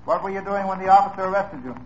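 An actor playing a police lieutenant in an old radio drama, questioning suspects in a brisk, clipped way. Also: male voice, high voice, a little hiss or hum, close to an everyday voice. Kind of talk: 1950s radio drama acting. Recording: muffled old radio broadcast.

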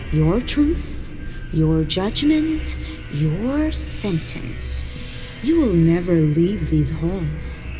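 A woman playing an implacable, truth-telling clay golem in an audio drama, speaking gravely and formally. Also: mid-range voice. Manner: grave, measured, formal, unyielding. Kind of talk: horror-fantasy audio drama acting. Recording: long cavernous reverb under music. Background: music.

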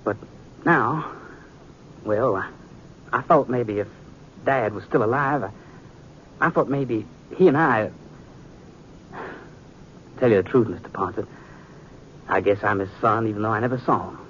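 A resolute young sheriff of the old west, American and plain-spoken. He sounds earnest. The old radio recording is dull, with faint rumbling noise. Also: measured pace, long pauses, a bit bright. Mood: earnest, wistful. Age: young adult. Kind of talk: 1950s radio western acting.

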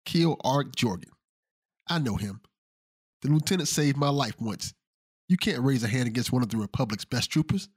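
A man's voice: medium pitch, animated, moderate pace, long pauses, husky, no echo, studio quality. A man playing an earnest, dutiful young spaceship crewman in an audio drama, speaking respectfully and idealistically. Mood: pleading.

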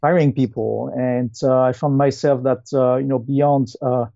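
Mellow Frenchman, halting interview answers. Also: mid-range voice, fairly even intonation, vocal fry, no echo, dull audio. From France. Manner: hesitant, halting delivery with many pauses. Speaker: guest, company founder and CEO.

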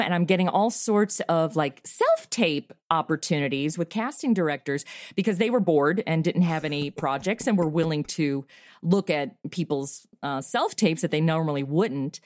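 A middle-aged woman talks casually as an interview guest. Her voice sits on the low side. The sound is dry and close, and she rambles in an informal, self-deprecating way. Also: no room sound. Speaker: podcast creator being interviewed.

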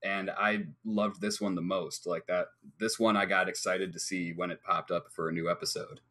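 A man's voice: low voice, level tone, moderate pace, pushed and bright. A man guesting on a casual podcast, talking eagerly and enthusiastically.

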